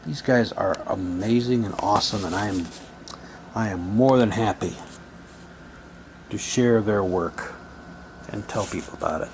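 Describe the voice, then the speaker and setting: A man's voice: lowish voice, measured pace, pauses often, darkish tone, slight background noise, poor audio. A man doing a casual unboxing, talking in a rambling, repetitive way and reading printed items aloud. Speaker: hobby vlogger unboxing audio drama sets.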